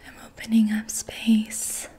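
A young woman whispering breathily in a soothing, gentle ASMR style as she guides an energy healing session. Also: a little high, completely flat delivery, takes it slowly, breathy edge. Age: young adult. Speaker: ASMR reiki practitioner. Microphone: close mic.

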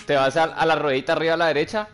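A man's voice: on the high side, fairly even intonation, racing, a bit bright, smooth. A young man, chatting casually while streaming a game with friends, laughing often and teasing them. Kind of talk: casual gaming chat with friends.

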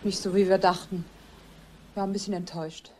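An actress playing a guarded, polite wife being questioned in a radio crime drama. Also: female voice, mid-range voice, fairly even intonation, fast, darkish tone, lightly acted. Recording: faint background noise.

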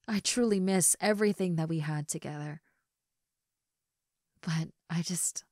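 A woman acting a tender, regretful young substitute teacher in an intimate roleplay monologue, speaking hesitantly with soft sighs on a close mic. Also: lowish voice, no room sound, close to an everyday voice. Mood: wistful, confessional. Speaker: roleplay audio voice actress. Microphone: close studio mic, dry.

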